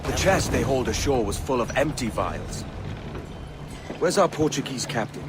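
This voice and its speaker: An actor playing a brash, greedy, roguish pirate captain in a video game, with a blunt, cocky manner. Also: male voice, mid-range voice, lively intonation, moderate pace, over loud music, lightly acted. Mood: gruff, impatient. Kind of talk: video game cutscene acting.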